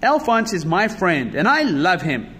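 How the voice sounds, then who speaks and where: A man's voice: a little high, sing-song, normal speed, pushed and bright, slight background noise, voice pushed up, full character voice. A man voicing an earnest young boy devoted to his pet in a storybook reading. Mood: pleading, urgent.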